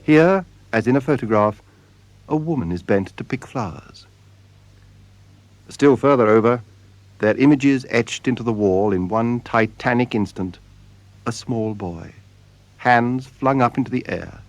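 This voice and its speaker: A man narrating a radio drama in a solemn, measured way, with deliberate dramatic pauses. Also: medium pitch, lively intonation, no room sound. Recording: radio drama with music behind. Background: music.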